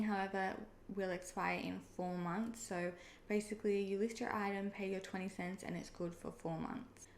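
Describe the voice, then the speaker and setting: Chatty Australian woman, gravelly edge. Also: mid-range voice. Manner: friendly, chatty, with frequent "um" and "personally". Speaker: online seller and video creator. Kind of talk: casual explainer vlog. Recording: echoey room with faint noise.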